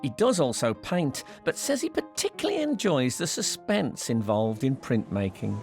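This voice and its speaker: Man narrating a documentary, lively voice. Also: mid-range voice, moderate pace, vocal fry, soft background music.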